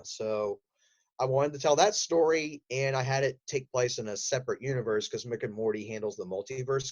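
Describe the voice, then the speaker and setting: A man's voice: mid-range voice, a little mellow, muffled recording. A man speaking as a podcast guest, telling detailed, enthusiastic stories in casual interview conversation. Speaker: podcast guest, voice actor and audio drama writer.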